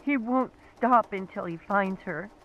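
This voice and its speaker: A woman's voice: mid-range voice, a little slow, fairly bright tone. A woman playing a frightened mother phoning for emergency help in a video game. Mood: panicked. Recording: muffled phone call with faint noise.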